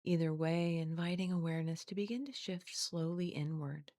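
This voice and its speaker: A woman guiding a body scan meditation in a gentle, soothing, unhurried way, leaving long pauses between scripted phrases. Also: on the low side, dry recording, clean studio sound. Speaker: guided meditation teacher.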